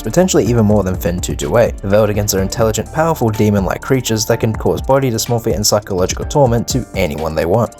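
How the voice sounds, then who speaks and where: Over background music, a man with a fairly low voice narrates a commentary video. He speaks in a casual, opinionated way, using slang and profanity throughout his scripted delivery. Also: a little mellow. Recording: music behind the voice.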